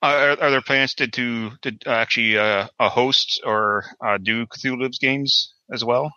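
A man hosting a casual interview, asking halting, rambling questions. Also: medium pitch, fairly even intonation, bright, forceful tone, no echo, dull audio. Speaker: podcast host, interviewer.

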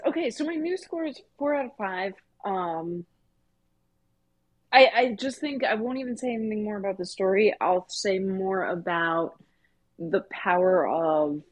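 A woman's voice: on the low side, no room sound. A woman co-hosting a podcast, talking earnestly and conversationally in a casual review discussion. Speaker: podcast co-host.

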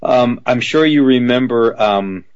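A man who works as a voice actor, answering interview questions in a lively way and slipping into character voices as he tells stories. His voice is slightly deep. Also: measured pace, dull audio. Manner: animated, drops into character voices while telling stories.

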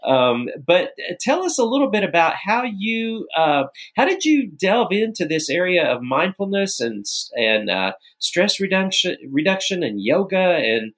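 Slightly high-voiced laughing male co-host. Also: sing-song, a little slow, hardly any pauses, fairly bright tone. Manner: laughs often, rambling with fillers. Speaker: podcast co-host, works with recovering people. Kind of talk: podcast conversation.

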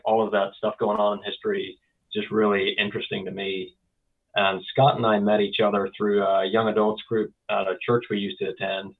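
Casual Northern Irish podcast guest, young adult man, low and level, heard through a tinny line. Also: breathy edge. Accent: Northern Irish, softened by years in the US. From Northern Ireland. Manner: rambling, with many fillers and restarts. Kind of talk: casual interview talk.

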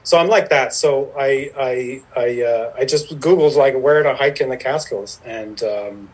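A man's voice: mid-range voice, measured pace, husky, low-quality recording. A man, telling stories as a podcast guest in a rambling, self-deprecating way. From Ireland. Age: 50s. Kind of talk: casual storytelling interview.

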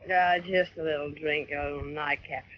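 A woman's voice: low-pitched, steady tone, brisk, a little hiss or hum, natural voice. An actress playing a frightened, hard-boiled woman who drinks and talks slangy and defiant, in old radio drama style. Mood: shaky. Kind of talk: 1940s radio drama acting. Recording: muffled vintage radio recording.

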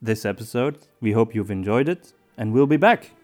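Podcast-Moderator mit mittlerer Männerstimme. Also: male voice, mid-range voice, expressive, normal speed, over music. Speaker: podcast host. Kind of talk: casual podcast talk.

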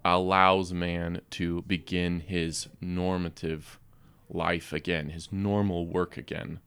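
Deep voiced guy, really slow and measured, thoughtful theology chat. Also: flat delivery, a bit bright, clean tone. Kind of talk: reflective conversational teaching.

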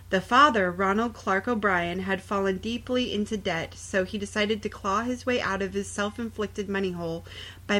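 A woman hosts a casual true crime podcast in a medium-pitched voice. She moves between reading accounts aloud and chatting, with a sardonic, sometimes sweary way of commenting. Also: a bit bright. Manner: sardonic, profane asides. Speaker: podcast co-host.

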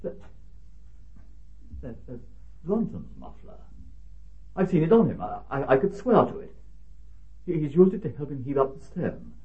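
A man playing an excitable, stammering British country gentleman in an old-time radio drama. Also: a little high, normal speed, long pauses. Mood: agitated. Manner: stammering, hesitant, flustered. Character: excitable, bewildered English gentleman, owner of old house. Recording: dull vintage radio recording.

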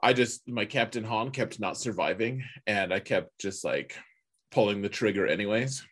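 A man with a fairly low, husky voice talks casually as a gaming podcast co-host. His phrasing is colloquial and opinionated, like relaxed shop talk between players. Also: moderate pace. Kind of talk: casual chat about a mobile game.

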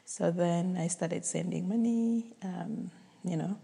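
A woman from Zambia telling her story in a casual interview, pausing often with ums and uhs. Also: on the low side, creaky, mellow, breathy edge. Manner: hesitant, many ums and uhs, quotes herself. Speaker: charity founder, guest. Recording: long hall reverb.

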